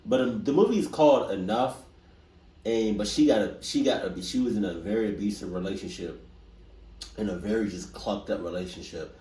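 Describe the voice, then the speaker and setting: A man's voice: lowish voice, brisk, mellow. A man giving casual, rambling, slangy commentary as a reaction video host. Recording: echoey, distant mic, faint noise.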